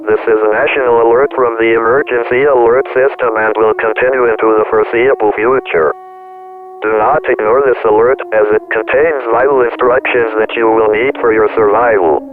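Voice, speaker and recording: A man playing a grave emergency alert announcer with a formal, measured and stern delivery. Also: medium pitch, steady tone, background noise, natural voice. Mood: grave, official. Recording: muffled lo-fi broadcast sound.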